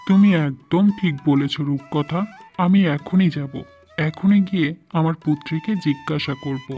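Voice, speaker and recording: A man's voice: fairly high pitch, a little slow, highs cut off, lightly acted. A man playing a proud, doting king and father in a fairy-tale cartoon, speaking formally. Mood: earnest, questioning. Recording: music under the voice.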